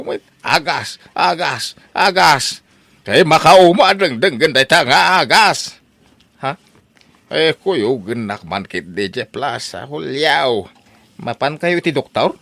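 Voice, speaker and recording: A man's voice: on the high side, lively intonation, slow pace, vocal fry, fairly bright tone, no echo, exaggerated voice. A man with an Ilocano accent playing a grumbling, whiny old village father in a comedy audio drama, exaggerated and complaining. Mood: exasperated.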